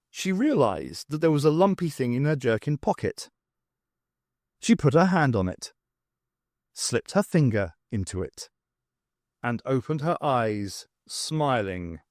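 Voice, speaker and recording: An audiobook reader giving a slow, expressive narration of a science-fiction novel. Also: medium pitch, no room sound, studio quality.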